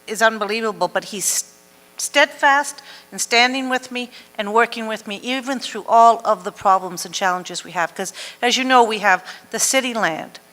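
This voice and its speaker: A woman addresses a public consultation, determined and rallying her listeners behind a project. Her voice sits a little high. A long reverb trails after her words in the hall. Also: normal speed, pushed and bright.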